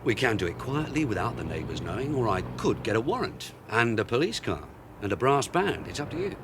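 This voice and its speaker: An actor playing a shrewd, middle-aged police sergeant in a radio drama, dry, sarcastic and bossy. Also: male voice, medium pitch, very animated, a little fast, background noise, close to an everyday voice. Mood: dry, commanding. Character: shrewd, sardonic veteran London detective sergeant. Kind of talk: naturalistic radio drama acting.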